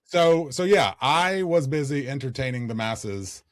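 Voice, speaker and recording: A man with a slightly raspy voice talks in loose, animated podcast chat. He has a middle-pitched voice and tells stories with a joking lilt. The sound is close and dry. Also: measured pace, no room sound. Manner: animated storyteller, jokey. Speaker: podcast co-host. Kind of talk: casual chat.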